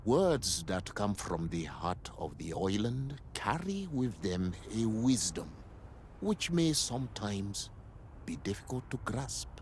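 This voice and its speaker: Low-voiced, wise clansman. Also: big pitch swings, a little mellow, slight background noise. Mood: calm, caring. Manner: formal, measured, poetic phrasing. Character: courteous, wise male native clansman, calm and perceptive. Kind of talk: game cutscene acting.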